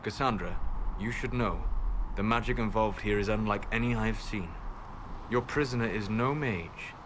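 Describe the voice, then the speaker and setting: Man acting a calm, low-voiced scholarly mage. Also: close to an everyday voice. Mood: calm, dry. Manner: measured, precise, dry. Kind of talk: dramatic fantasy game acting. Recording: clearly noisy outdoor game ambience.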